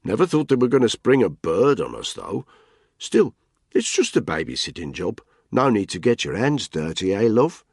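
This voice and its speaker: A brash, needling middle-aged soldier with a raised, loud voice, taunting everyone around him, as a man puts him on. The tone is husky and gravelly with a dry sound. The voice belongs in an audiobook. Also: on the low side, huge pitch swings, moderate pace, no room sound, pitched above the performer's own voice, full character voice. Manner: mocking, provoking.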